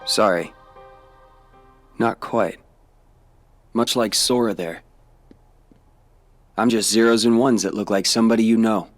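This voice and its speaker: Guy voicing calm mysterious teen data boy in a game, lowish voice, serious and measured, bit of music under it. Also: close to an everyday voice. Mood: calm, explaining. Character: calm, cryptic teenage boy made of data. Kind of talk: video game cutscene acting.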